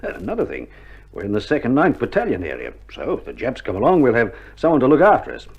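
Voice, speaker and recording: Dull old recording, wry, lively Australian army nurse. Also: male voice, medium pitch, fast, gravelly, husky, exaggerated voice. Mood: soothing, wry. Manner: laconic, joking, colloquial banter. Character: wry, reassuring army male nurse in a wartime field hospital. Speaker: actor in a radio war drama. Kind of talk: 1960s radio drama acting. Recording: old vinyl recording, dull band, faint noise.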